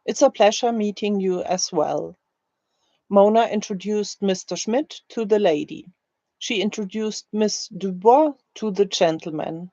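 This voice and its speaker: A woman teaching a language, reading scripted drill phrases in a deliberate, carefully spaced way. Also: medium pitch, warm tone, no room sound, lo-fi sound. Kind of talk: slow, scripted language-learning drill, read aloud.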